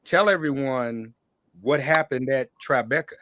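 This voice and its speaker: A man's voice: medium pitch, unhurried, a bit bright, no room sound. A man hosting a conversational interview show, welcoming guests and addressing them directly. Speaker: podcast host interviewing guests.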